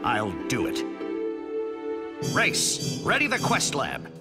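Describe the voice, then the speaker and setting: A man's voice: medium pitch, huge pitch swings, takes it slowly, loud music behind the voice. A man playing a grave, resolute middle-aged scientist in an adventure cartoon, earnest and dramatic. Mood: grave resolve.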